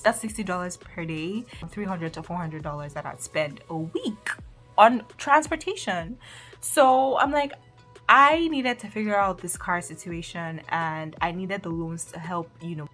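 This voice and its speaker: A Nigerian woman in her twenties, a medical student, telling a casual vlog story with animated, exasperated asides and frequent laughs. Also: lowish voice.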